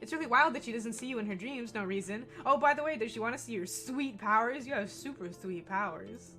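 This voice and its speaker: A woman from Texas with a gentle Texan accent, reading a visual novel aloud on stream with profane jokes and frequent laughter. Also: on the high side, fairly quick. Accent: mostly American with a gentle Texan accent. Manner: profane, joking, laughs often. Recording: game music behind.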